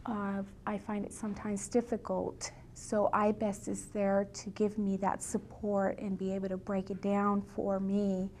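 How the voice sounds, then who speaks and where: A woman student giving an interview testimonial, speaking haltingly as she searches for words. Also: creaky, darkish tone. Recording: background noise with low rumble.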